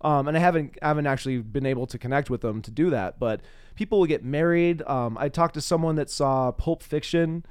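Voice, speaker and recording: Guy hosting a laid back chatty interview, smooth clear voice, really dry close sound. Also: fairly high pitch, a little fast, a little mellow, no echo. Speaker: interviewer, podcast host. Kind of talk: casual conversational interview.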